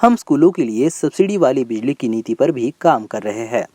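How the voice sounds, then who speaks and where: A man's voice: medium pitch, expressive, moderate pace, dark tone, no room sound. A man reading a scripted news bulletin as its presenter.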